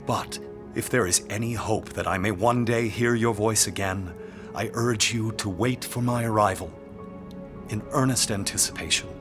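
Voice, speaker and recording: An actor playing a courteous, devoted lord in a period romance audio drama, with formal, tender and deliberate delivery. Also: male voice, lowish voice, over music, close to an everyday voice. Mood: ardent, confessional. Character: ardent, courteous aristocratic lord, regretful, devoted.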